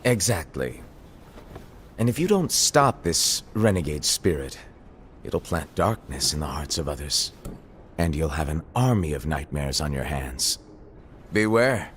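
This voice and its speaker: An actor playing an eccentric, playful master teacher in an animated fantasy film, explaining casually over soft music. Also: male voice, extremely low pitch, measured pace, slight background noise, heavily acted. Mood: cautioning. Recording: faint music behind the voice.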